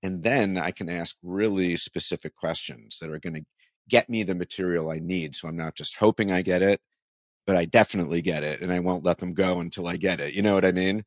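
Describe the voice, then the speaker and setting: A man with a deep voice talks as an interview host in a dry, echo-free recording. He is conversational and thoughtful, restarting words now and then as he works out his point. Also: a little fast. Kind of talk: conversational interview about writing and marketing.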